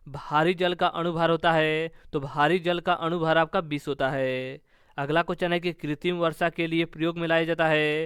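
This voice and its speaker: A man's voice: fairly high pitch, a bit bright, clean tone, no room sound, clean studio sound. A man teaching an exam-prep lesson, reading out questions and answering each in a repetitive, formulaic way.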